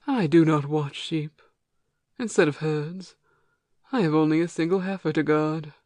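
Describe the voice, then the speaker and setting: A woman playing a self-assured, hospitable male giant watchman with a hundred eyes, read in a measured, formal way for an amateur audio play. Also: low voice, darkish tone, no echo. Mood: friendly, curious.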